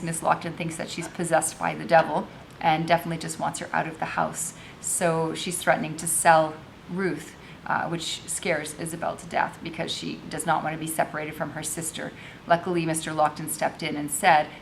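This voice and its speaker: Low-pitched woman reading a novel aloud. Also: vocal fry, a bit bright. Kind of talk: narrated read-aloud and informal explanation. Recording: faintly noisy home recording with low rumble.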